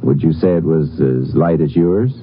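An actor playing a laconic, authoritative Texas Ranger in a 1950s radio western, speaking in a terse, matter-of-fact way. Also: male voice, extremely low pitch, normal speed, a little hiss or hum. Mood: probing. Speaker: lead actor and story narrator. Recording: dull vintage radio recording, music.

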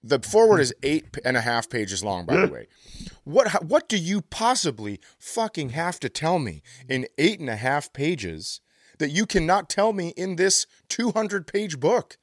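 A man's voice: a little high, sing-song, normal speed, no echo. An American man hosting a comedy podcast, telling casual stories with a profane, exasperated ranting streak. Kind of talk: casual comedic chat and storytelling.

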